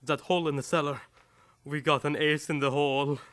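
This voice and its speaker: An actor playing a nervous, excitable criminal's sidekick doctor in a radio play. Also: male voice, fairly high pitch, a little fast, natural voice. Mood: anxious, excited.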